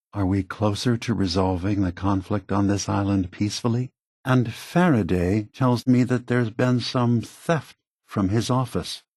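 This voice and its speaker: Slow, solemn old android leader. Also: male voice, fairly low pitch, a little mellow, breathy edge, no echo, clean studio sound, full character voice. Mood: measured concern. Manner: measured, deliberate, earnest, solemn. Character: calm, sorrowful, ancient synthetic android leader. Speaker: video game character voice actor. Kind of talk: scripted video game dialogue.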